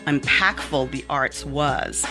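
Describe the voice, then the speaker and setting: A woman's voice: rumbling low, slow pace, over music. A woman raised in the Bahamas, an author speaking as an interview guest, earnest and reflective with frequent ums. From born in Miami, raised in the Bahamas. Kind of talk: personal interview conversation.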